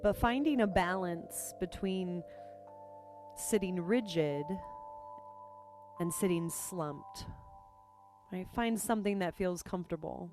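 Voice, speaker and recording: Female meditation guide, lowish register, gentle and unhurried delivery with space between phrases, music underneath. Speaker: yoga and meditation teacher.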